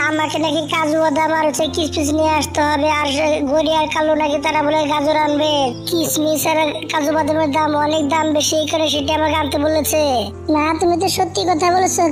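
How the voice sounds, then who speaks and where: A woman's voice: high-pitched, natural voice. A woman voicing chatty, fretful female birds in an amateur cartoon, with an insistent manner. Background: music.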